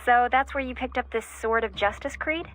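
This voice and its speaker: A skeptical, easygoing female colleague, played by a woman, chats in a video game. Her voice is bright and fairly high. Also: normal speed, close to an everyday voice. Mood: amused, teasing.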